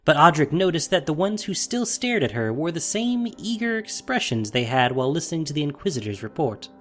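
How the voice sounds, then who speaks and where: A man's voice: a little high, sing-song, a little fast. A man narrating a fantasy audio drama in a dramatic, scripted storytelling style.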